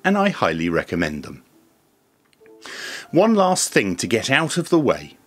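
A man presents a scripted explainer to camera in a measured, formal, methodical way. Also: medium pitch. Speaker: video presenter and radio reviewer. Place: small indoor home studio room.

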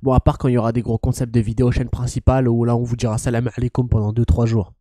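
A young man with a head cold, streaming a game and joking loudly in slangy banter. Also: medium pitch, little pitch movement, racing, dry recording, studio quality. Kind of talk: casual gaming stream chat.

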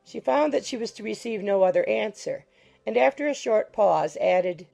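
A woman narrating an audiobook in a measured, formal reading style. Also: mid-range voice, expressive, vocal fry, warm tone, faint music behind.

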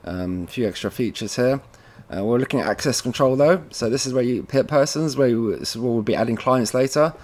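A man with a mid-pitched voice walks viewers through software one step at a time. His delivery is casual and informal, like an installer showing the guys how it is done. He speaks as a voice-over from his computer. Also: brisk, hardly any pauses. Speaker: tutorial presenter, installer.

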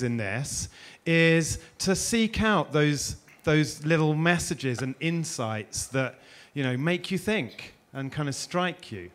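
A middle-aged man from England, chatty and self-deprecating, introducing and interviewing a guest on a conference stage with a handheld mic. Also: mid-range voice. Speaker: interviewer and host. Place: conference stage before an audience.

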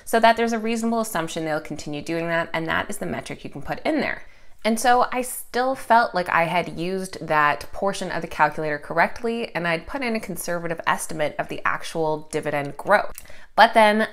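A woman's voice: lowish voice, fairly bright tone. Nasal, congested young woman explaining finance. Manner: upbeat, conversational, explains step by step. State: congested from a cold, slightly nasal. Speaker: finance channel host. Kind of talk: casual explainer to camera. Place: home office, indoors.

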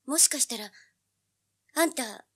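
A brash teenage girl in an audio drama. The voice is high and brightly forceful. The girl is blunt, snappy and out for money. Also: lively intonation, a little slow, slightly rough, exaggerated voice. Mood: realising, subdued. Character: brash, money-minded seventeen-year-old girl, feisty and blunt.

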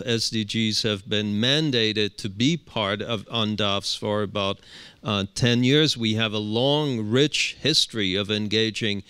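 A middle-aged man speaking on a panel into a handheld mic, halting often with fillers and restarts. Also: lowish voice, pushed and bright, clear, resonant tone, dry recording. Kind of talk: unscripted panel remarks. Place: conference room.

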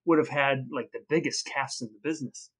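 A man's voice: medium pitch, brisk, fairly bright tone. A man co-hosting a casual comedic podcast, telling stories in a wry, playfully grand way. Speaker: podcast co-host. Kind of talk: casual comedic storytelling chat.

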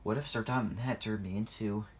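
A man's voice: lowish voice, steady tone, fairly quick, lightly acted. Man as a gloomy, sighing steam engine. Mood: dismayed. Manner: sighing, dismayed.

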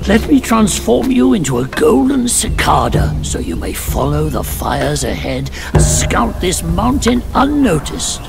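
High, animated little old god. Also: male voice, unhurried, gravelly, few pauses, full character voice. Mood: advising. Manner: animated, folksy, chatty and wry. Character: spry old male earth god, scolding but helpful. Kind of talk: theatrical video game voice acting. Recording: music under the voice. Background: game music.

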